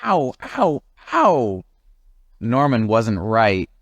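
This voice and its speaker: Man voicing grumpy monkey, storybook character, whiny, exasperated, very animated pitch, dry close sound. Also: very slow, no echo, lo-fi sound, heavily acted. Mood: exasperated complaining. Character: grumpy, complaining young male monkey.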